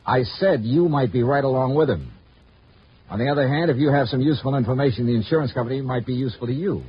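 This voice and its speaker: An actor playing a terse, cynical insurance investigator in a mid-century radio detective drama, narrating and questioning in a clipped, wry manner. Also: male voice, medium pitch, quick pace, slightly rough. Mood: stern, pressing. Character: hardboiled insurance investigator, male, brisk, cynical, commanding. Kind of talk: 1950s radio detective acting, clipped first-person narration. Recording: dull vintage radio recording, music behind.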